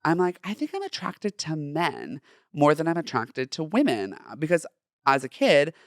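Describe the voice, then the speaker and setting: A young man from Southern California with a feminine, light voice, hosting a personal solo podcast in a chatty, campy, expressive way. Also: a little high, moderate pace, dry recording, studio quality. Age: young adult. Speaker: podcast host.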